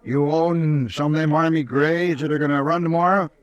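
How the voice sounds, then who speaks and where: A man's voice: fairly high pitch, fairly even intonation, normal speed, no echo, highs cut off, lightly acted. Belligerent frontier bettor played by a man, coarse drawl, dry mic. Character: rough Civil War veteran horse bettor, belligerent. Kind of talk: 1950s radio drama acting.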